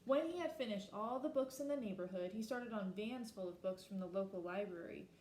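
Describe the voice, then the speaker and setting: A woman reading a children's picture book aloud expressively, slowing down for emphasis and pausing to ask her listeners questions. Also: medium pitch, animated, warm tone, echoey room, slight background noise, rough recording. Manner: asks listeners reflective questions. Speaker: storybook reader.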